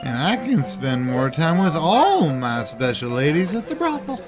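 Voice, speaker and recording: A man's voice: a little high, sing-song, moderate pace. A man playing a cocky, pleasure-loving outlaw brother with folksy talk in a western audio drama. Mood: gleeful. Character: rowdy, pleasure-loving male Old West outlaw brother. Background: music.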